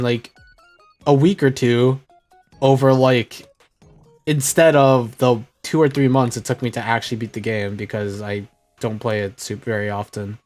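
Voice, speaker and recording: A young man chatting casually as a streamer, rambling and correcting himself, often trailing off quietly. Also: mid-range voice. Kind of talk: casual rambling chat while gaming.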